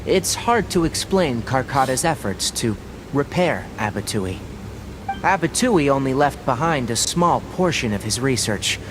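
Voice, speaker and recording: Earnest, measured young forest scholar. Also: male voice, mid-range voice, big pitch swings, background noise. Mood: measured, matter-of-fact. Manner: measured, articulate, matter-of-fact. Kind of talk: scripted video game voice acting. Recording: game audio with music behind.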